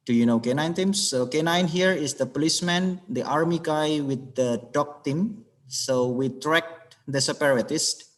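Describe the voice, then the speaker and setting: A man giving a webinar talk as an English trainer, halting and searching for words as he goes over his slides. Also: a little high. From Indonesia. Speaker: alumni guest speaker, English trainer.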